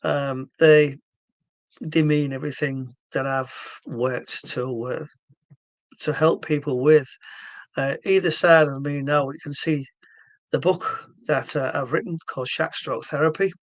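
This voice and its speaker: A man's voice: fairly high pitch, fairly even intonation, unhurried, dry recording, rough recording. Earnest Yorkshire man, dry close sound. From England. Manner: earnest, meandering, with frequent fillers and restarts. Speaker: stroke therapy founder. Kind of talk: casual talk to camera.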